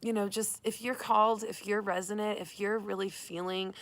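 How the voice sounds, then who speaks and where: A woman who has nearly lost her voice, hoarse, hosting an upbeat, spiritual tarot reading for her channel. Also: medium pitch, fairly even intonation, moderate pace, no room sound. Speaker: tarot reader and channel host. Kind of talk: casual livestream reading. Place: Arizona.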